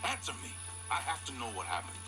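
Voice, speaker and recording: Grieving father out for vengeance played by a man, desperate, volatile pitch, motion comic drama over music. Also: wildly swooping pitch, fast talker. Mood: desperate, anguished.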